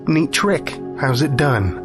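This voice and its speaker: A man's voice: medium pitch, animated, slow pace, over music, pitched above the performer's own voice. A man voicing a skeptical, uneasy young wife in an audio story, with slangy, hesitant, questioning speech. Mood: surprised, curious.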